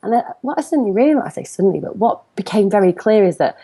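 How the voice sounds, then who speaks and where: A woman's voice: medium pitch, big pitch swings, quick pace, dark tone, breathy edge. A woman in her thirties with a Northern English accent, chatting animatedly as an interview guest and telling personal stories. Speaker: interview guest, comparison coach. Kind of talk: conversational interview, personal storytelling.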